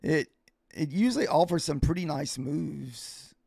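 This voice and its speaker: A man hosting a casual live trading stream, thinking aloud in an informal, halting way, his voice getting a little hoarse. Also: fairly high pitch, animated, a little mellow, no room sound, studio quality. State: voice starting to get hoarse. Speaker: livestreaming futures day trader and host. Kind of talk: casual live trading commentary, answering viewers.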